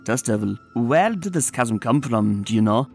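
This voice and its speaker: A man's voice: medium pitch, expressive, normal speed, creaky, a little mellow, slightly rough, soft background music. A man voicing an amiable, folksy cowgirl pony in a cartoon comic dub, plain-spoken and curious.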